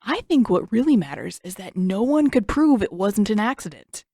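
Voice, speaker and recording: A woman's voice: mid-range voice, very animated, moderate pace, dark tone, no echo, studio quality. A woman voicing a cheeky, smug teenage boy in an audio story, nosy and teasing.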